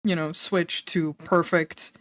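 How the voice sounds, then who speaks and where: A woman's voice: on the low side, steady tone, takes it slowly, no echo. A young woman from the Netherlands with a Dutch accent, chatting casually and candidly on a stream, laughing often and swearing freely. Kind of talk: casual stream chat while drawing.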